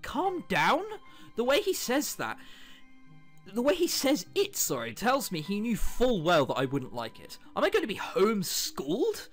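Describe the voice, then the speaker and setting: A man's voice: high voice, wildly swooping pitch, unhurried, soft background music, full character voice. A man reading the part of a bitter, sardonic teenage boy in a visual novel. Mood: indignant. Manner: indignant, brooding, sardonic. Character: bitter, sarcastic teenage boy with a heart condition.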